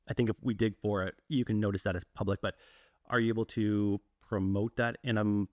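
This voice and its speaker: A man's voice: on the low side, steady tone, a little fast, dry recording, clean studio sound. A man hosting a local interview, asking casual questions in an easygoing manner. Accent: Canadian English. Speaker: interviewer, channel host.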